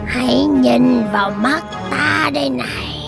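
An imperious serpent demoness from a children's cartoon, acted by a woman. Her voice is high and creaky, drawing out each menacing phrase. Music plays underneath. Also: exaggerated voice. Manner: slow, drawn-out, imperious. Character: menacing female serpent demon of haunted castle.

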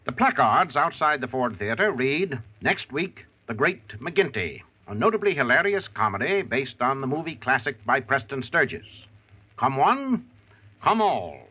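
A man hosting and narrating a 1940s radio theater in a formal, measured, theatrical manner. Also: medium pitch, sing-song, breathy edge. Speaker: host and narrator. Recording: muffled old radio recording.

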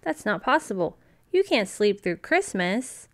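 A woman voicing an excitable, tender-hearted young girl bunny in a storybook, with an expressive, earnest delivery. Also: medium pitch, measured pace. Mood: dismayed.

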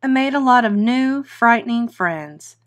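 A woman's voice: a little high, lively intonation, measured pace. A woman voicing a defiant young monster schoolboy in a children's storybook, with emphatic exclamations. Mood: proud. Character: defiant young monster schoolboy, eager to prove he's scary. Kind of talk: children's storybook read-aloud.

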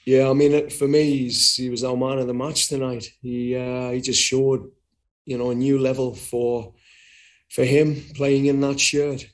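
A man's voice: mid-range voice, flat delivery, unhurried. A middle-aged man answering as head coach in a post-match press conference, talking frankly and often repeating words while he thinks, over a video call. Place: indoors before a sponsor backdrop.

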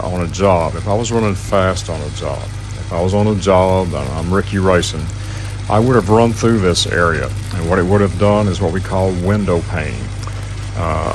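A man's voice: low voice, slight background noise. A man teaching welding in a plainspoken, folksy voice-over. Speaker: welding instructor. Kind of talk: instructional voice-over narration.

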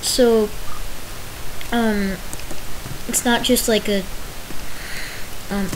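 A woman's voice: a little high, fairly even intonation, extremely slow. A young woman giving a rambling, self-interrupting commentary while touring a game world she built. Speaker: Minecraft video host. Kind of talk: casual gameplay tour commentary. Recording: hissy home recording.

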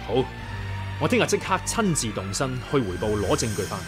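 An earnest young chief of a sworn brotherhood in a martial-arts radio play, acted by a man. His mid-pitched voice is formal, resolute and widely inflected, set over music. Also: sing-song, fairly quick, lightly acted. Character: earnest, courteous young leader of a rebel brotherhood. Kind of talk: Cantonese radio drama acting. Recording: background music under the voice.

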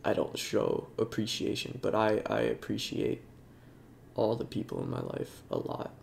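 A young man with a congested voice, talking in a halting, soft-spoken way with many fillers over game footage. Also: fairly low pitch, level tone, creaky, a little hiss or hum. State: congested, sniffling. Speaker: YouTuber. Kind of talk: casual talk over gameplay.

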